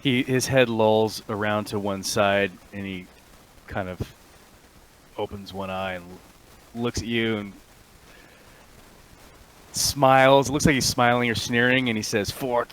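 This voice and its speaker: A man's voice: on the low side, a little slow, long pauses, fairly bright tone, poor audio. A man acting as game master, casually narrating a tabletop roleplaying game and answering a player.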